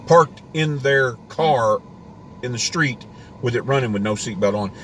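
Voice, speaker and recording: A man's voice: medium pitch, a little slow, a bit bright. Rambling male homesteader host, voice wearing thin, talking from his car over faint noise. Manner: ranting, rambling with restarts. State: voice getting worn. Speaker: homesteading live stream host. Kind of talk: casual live stream rant. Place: inside a parked car.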